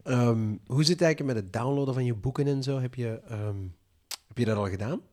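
A man's voice: medium pitch, a little fast, a little mellow. A man with a Flemish accent chatting casually as a co-host, putting questions in a hesitant, searching way. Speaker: podcast co-host asking questions. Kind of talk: casual chat.

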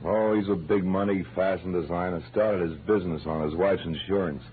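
An actor playing a pragmatic, plain-spoken police lieutenant in an old radio drama, businesslike and familiar. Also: male voice, low voice, fairly even intonation, brisk, breathy edge. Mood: matter-of-fact. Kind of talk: 1940s radio drama acting. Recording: muffled old radio recording, faint hum.

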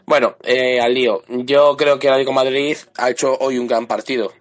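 A man's voice: mid-range voice, level tone, fairly quick. A man with a sore throat, sending a rambling voice message to a fan podcast. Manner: rambling, loses his thread and restarts often. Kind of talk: casual voice message.

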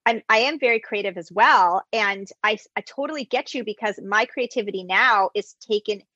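A woman's voice: medium pitch, normal speed, sharp tone, no room sound, lo-fi sound. A woman hosting a casual interview, chatty, with frequent restarts. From United States, Greek immigrant parents. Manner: chatty, self-correcting restarts. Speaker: interviewer, recipe creator.

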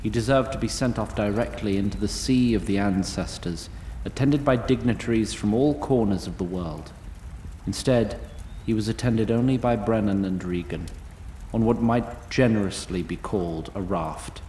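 A man narrating a scripted fantasy audio drama in a solemn, deliberate manner. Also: lowish voice, warm tone, roomy sound, slight background noise, lightly acted.

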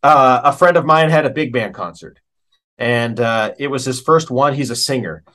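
A man's voice: mid-range voice, normal speed, fairly bright tone. Casual American man telling musician stories. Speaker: interview guest, musician. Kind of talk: casual interview chat.

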